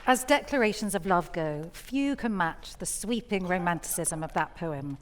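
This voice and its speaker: A woman's voice: on the low side, expressive, moderate pace, crowd noise, background noise. A woman hosting a poetry evening, giving scripted introductions from a theatre stage.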